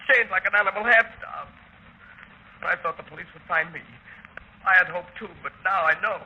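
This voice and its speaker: High, despairing chained prisoner. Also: male voice, a little fast. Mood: hysterical despair. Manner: melodramatic, bitter, repetitive. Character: despairing half-starved male prisoner, unravelling in chains. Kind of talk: 1930s radio drama acting. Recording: dull noisy 1930s radio recording.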